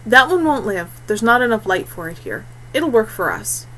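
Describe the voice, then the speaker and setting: A woman voicing a calm, patient young forest-born woman in a storybook, speaking with quiet assurance. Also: on the high side, normal speed, a little hiss or hum, poor audio. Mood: patient, practical.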